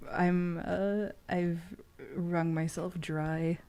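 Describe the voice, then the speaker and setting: A woman's voice: fairly low pitch, little pitch movement, drawn-out words, warm tone, clean tone. A woman giving tarot readings on a stream in a playful, casually profane way, moving between loose chat and reading aloud.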